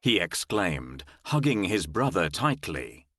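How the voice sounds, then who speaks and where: A man narrating a children's fairy tale in a measured, expressive storytelling style. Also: low-pitched, no room sound, studio quality.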